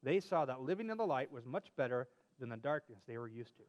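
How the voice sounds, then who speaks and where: A man's voice: medium pitch, animated, quick pace, dull audio. A man reading a children's story aloud expressively as a co-host, with playful asides.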